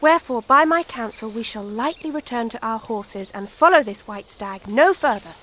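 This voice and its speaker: A gentle young queen, as a woman acts her, with a clearly high voice. She is careful and courtly, phrasing everything in stately old-fashioned words for a fantasy radio drama, over faint noise. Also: animated, normal speed. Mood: cautious. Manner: archaic, formal speech. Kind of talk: theatrical fantasy audio drama.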